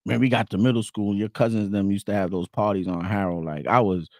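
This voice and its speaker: Dry-sounding podcast host. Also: male voice, lowish voice, fairly even intonation, fairly quick, dry recording. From Boston. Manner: rambling, repeats himself, slang-heavy. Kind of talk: casual podcast banter.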